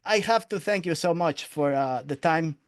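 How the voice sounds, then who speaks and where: A man's voice: a little high, animated, moderate pace, no echo, studio quality. An older man with a Spanish accent hosting a casual interview, rambling in a self-deprecating, profane way. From Spain. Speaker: interview show host. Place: indoor room on a video call.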